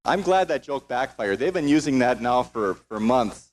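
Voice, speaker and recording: A man's voice: medium pitch, lively intonation, normal speed. Man, American-born, mid-range voice, informal stage host introducing a group, pokes fun at himself. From United States. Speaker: choir director introducing the singers.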